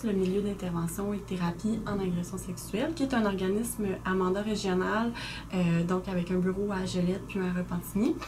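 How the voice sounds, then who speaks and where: A young woman with a Québécois French accent answers interview questions earnestly and articulately, pausing on "euh" as she goes. Her pitch sits in a middle range. Clear background noise runs under her voice on a community radio recording. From Quebec. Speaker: guest, new executive director. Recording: noisy community radio studio.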